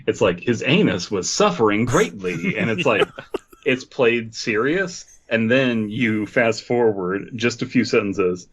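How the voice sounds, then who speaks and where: A man's voice: medium pitch, lively intonation, measured pace, few pauses, lo-fi sound, background noise. A man co-hosting a podcast, reading a story aloud and bantering casually for comedy. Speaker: podcast co-host.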